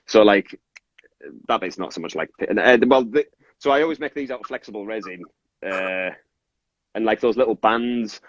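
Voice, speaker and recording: A man from northern England with a Cumbrian accent touched by Scottish, casually explaining his craft in a rambling, self-interrupting way. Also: on the low side. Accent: Cumbrian northern English with Scottish influence. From Carlisle, Cumbria, northern England. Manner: rambling, self-interrupting, apologetic about tangents. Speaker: toy maker and resin artist, guest. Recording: muffled, echoey room sound.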